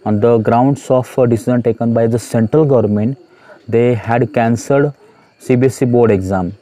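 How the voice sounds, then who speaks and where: Earnest Indian man, mellow voice. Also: medium pitch. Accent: Indian English. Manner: earnest, repetitive, many "uh" pauses. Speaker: YouTube channel host addressing students. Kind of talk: earnest motivational talk to camera.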